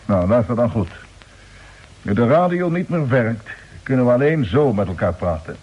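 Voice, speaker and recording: A man acting a steady spaceship fleet commander in an old radio drama, speaking in a brisk, authoritative way. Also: medium pitch, husky. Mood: calm, commanding. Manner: brisk, authoritative, giving orders. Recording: muffled vintage radio drama recording.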